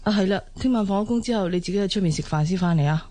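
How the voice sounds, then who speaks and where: Actress as a frustrated young Hong Kong wife. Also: female voice, mid-range voice, rapid-fire, mellow, natural voice. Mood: annoyed. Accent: Hong Kong Cantonese. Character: frustrated young wife, complaining, hesitant, sighing. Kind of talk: naturalistic Cantonese radio drama acting.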